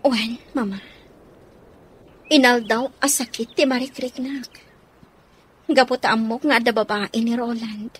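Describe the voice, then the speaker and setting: Actress as betrayed wife, fairly high husky voice, Ilocano accent, long-suffering, radio drama. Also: female voice, fairly even intonation, unhurried, pauses often. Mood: hurt, resigned.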